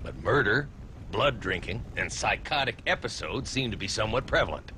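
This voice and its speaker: A wry, weary frontier marshal. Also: male voice, lowish voice, animated, brisk, bright, forceful tone, slight background noise, rough recording. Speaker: town marshal.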